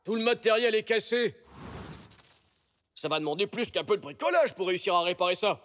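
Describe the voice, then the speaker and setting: High-voiced flustered zebra in reverb. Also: male voice, lively intonation, brisk, fairly bright tone, slight background noise. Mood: dismayed. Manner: effortful, exclaiming. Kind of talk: animated children's series dialogue. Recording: hall reverb, music behind.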